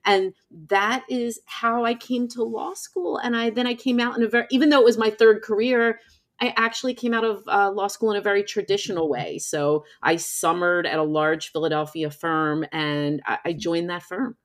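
A woman's voice: mid-range voice, steady tone, normal speed. An American woman, a professor and author, speaking as a podcast guest in a chatty, self-deprecating storytelling style. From United States. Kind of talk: conversational personal storytelling in an interview.

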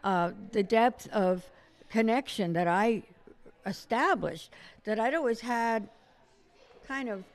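A woman's voice: on the high side, slow, long pauses. An older woman from Ukraine, a journalist guest, telling family history in an earnest, storytelling way with many fillers. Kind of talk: casual interview chat.

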